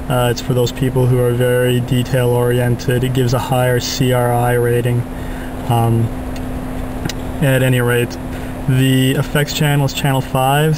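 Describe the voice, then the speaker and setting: A young man demonstrating a lighting system in a flat, monotone way, with frequent filler sounds, recorded on a camera mic in a parking garage. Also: medium pitch, noisy background. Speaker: product demonstrator. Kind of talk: casual product demo narration.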